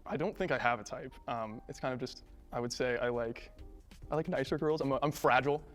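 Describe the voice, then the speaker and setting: A man on a dating show giving casual, halting, awkward answers. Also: mid-range voice, faint music behind. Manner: awkward, hesitant.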